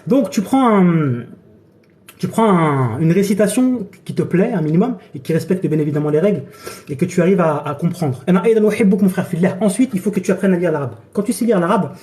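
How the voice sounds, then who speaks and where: A man with a cold and a hoarse voice, a coach chatting casually on a phone live stream and tossing in "d'accord" and "frère". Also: high voice, lively intonation, fairly quick, warm tone. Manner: chatty, repeats himself, many "d'accord" and "frère". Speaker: fitness and nutrition coach. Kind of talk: casual TikTok live chat. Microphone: phone during a live stream.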